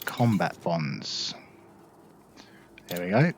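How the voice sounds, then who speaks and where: A man's voice: sits low, very animated, very slow, slight background noise. A man hosting a gameplay video, explaining things informally in casual commentary. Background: spaceship game sounds.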